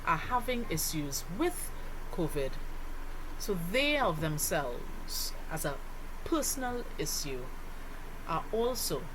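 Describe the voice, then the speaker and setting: A woman delivers a lesson in a slightly low voice. She moves slowly and deliberately, putting weight on key words and asking rhetorical questions. Noticeable noise sits behind her on the recording. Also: sing-song. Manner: deliberate, teacherly, with rhetorical questions and emphatic stresses. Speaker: lecturer. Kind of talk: explanatory lesson voice-over.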